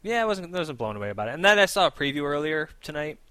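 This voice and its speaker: Young dude cohosting a gaming podcast, talks fast, teasing, bright edge to his voice. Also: on the high side, sing-song, clear, resonant tone, no echo. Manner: teasing, quick, steers topics. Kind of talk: casual gaming podcast chat.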